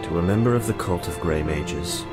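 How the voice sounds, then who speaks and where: A man playing an earnest, awkward young mage in a visual novel, explaining things in a formal, measured way. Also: low voice, natural voice. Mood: grave, explanatory. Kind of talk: visual novel character acting. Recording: music bed behind the voice.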